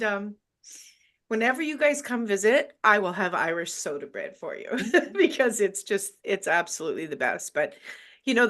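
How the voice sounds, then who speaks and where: A woman's voice: fairly high pitch, a bit bright. A woman hosting a book launch on a video call, talking candidly and emotionally with hesitant fillers. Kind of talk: casual group chat.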